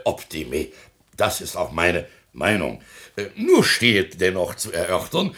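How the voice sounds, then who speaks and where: A self-important middle-aged mayor in a comic radio play. The voice is very low and gravelly, swooping in pitch. He talks haltingly and officiously, dropping in Latin phrases. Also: a bit bright, exaggerated voice. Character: pompous, hesitant middle-aged small-town mayor. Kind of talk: stage comedy radio acting.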